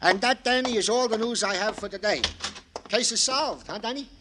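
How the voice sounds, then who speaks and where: An actor playing a fussy, long-winded police sergeant sidekick in an old radio crime drama, speaking in flowery, over-formal phrases. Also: male voice, sits high, normal speed, heavy background noise, lightly acted. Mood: eager, self-important.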